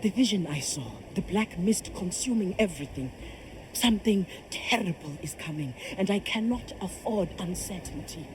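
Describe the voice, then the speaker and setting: A woman's voice: mid-range voice, lively intonation, normal speed, background noise, close to an everyday voice. A woman playing a proud, commanding and devout kraken priestess in a video game, solemn and declamatory. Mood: troubled. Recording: music behind the voice.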